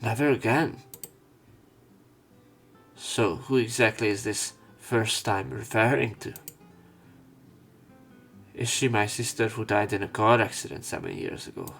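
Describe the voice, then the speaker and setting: A man with a fairly low voice reads a visual novel aloud as a let's play narrator, covering both narration and dialogue. Game music plays faintly behind him. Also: fairly even intonation, measured pace, vocal fry, pauses often, fairly bright tone.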